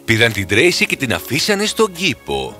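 A man narrating a scripted fairy tale in a dramatic, expressive manner. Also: medium pitch. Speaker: storyteller. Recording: music under the voice.

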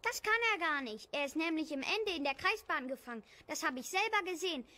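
Woman doing a very high, whiny little boy. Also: expressive, a little fast, pushed and bright. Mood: defiant. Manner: whining, defiant.

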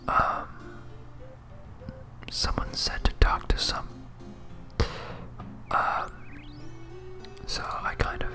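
A whispered, breathy voice gives ASMR gameplay commentary at a slow pace. The delivery is hesitant and rambling, often self-deprecating. Music plays clearly behind it. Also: pushed and bright. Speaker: host, game streamer.

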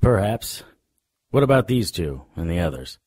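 A man playing a cocky, world-weary paranormal investigator, narrating a noir radio drama in a wry, deadpan way. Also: low voice, normal speed, husky, no echo, clean studio sound, full character voice. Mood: wry, cool.

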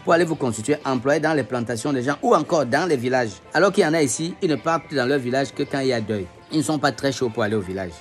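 A man's voice: medium pitch, fast, hardly any pauses, faint music behind. A man talking earnestly to his phone camera, making an argument. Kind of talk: opinion video commentary.

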